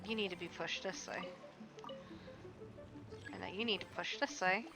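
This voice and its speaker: A woman streaming a game, chatting casually, swearing and reacting loudly. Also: mid-range voice, moderate pace, music in the background. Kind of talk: casual livestream gameplay chat.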